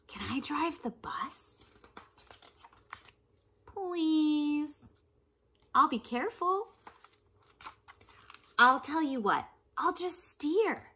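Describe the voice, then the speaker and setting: Picture a pleading, tantrum-prone storybook pigeon acted out by a woman reading aloud. The voice is high and bright, whiny and dramatic, and it leaps around in pitch as it wheedles. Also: exaggerated voice. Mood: wheedling. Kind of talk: children's storybook read-aloud.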